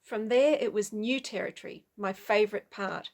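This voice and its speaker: A woman's voice: medium pitch, normal speed, a little mellow, soft background music. A woman musician giving a prepared conference talk in a conversational way, explaining her work. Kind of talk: prepared presentation.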